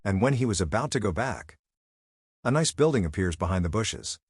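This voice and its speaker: A man's voice: fairly low pitch, expressive, a little fast, slightly rough. A man who speaks English as a second language, narrating a scripted story in a theatrical, suspenseful way. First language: not English.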